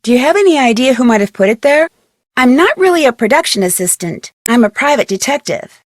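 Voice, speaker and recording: A young American woman playing an inquisitive, plucky amateur detective in an adventure game, speaking earnestly and expressively with clear enunciation. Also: high voice, big pitch swings, brisk, dry recording, studio quality. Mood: earnest, probing. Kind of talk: scripted adventure game voice acting.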